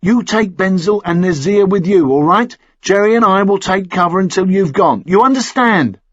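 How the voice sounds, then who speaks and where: A man's voice: high voice, lively intonation, normal speed, a bit bright, dry recording, dull audio, pitched above the performer's own voice. A man voicing a hard, urgent soldier giving clipped, sweary orders in an audiobook thriller. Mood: urgent, insistent. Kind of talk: audiobook character dialogue.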